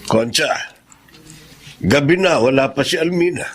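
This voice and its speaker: Lively, exasperated older Filipino father. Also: a little high, measured pace, faint music behind. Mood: worried. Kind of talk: Filipino radio drama acting.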